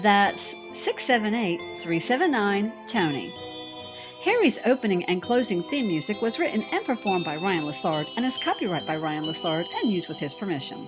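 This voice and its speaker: A woman's voice: medium pitch, very animated, normal speed. A woman reading scripted programme credits as an announcer. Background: loud music.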